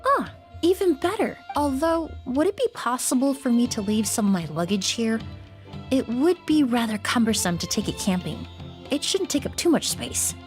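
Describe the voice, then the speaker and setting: A calm, knowledgeable young perfumer with a slightly high voice and lively intonation. She reasons things through in a precise, polite way, and game music is clearly heard behind her. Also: normal speed.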